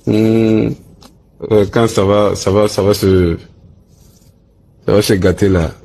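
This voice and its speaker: A man's voice: lowish voice, steady tone, takes it slowly, gravelly, mellow. A man with a West African French accent asking questions hesitantly in an informal phone conversation. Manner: hesitant, murmuring acknowledgements. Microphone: telephone.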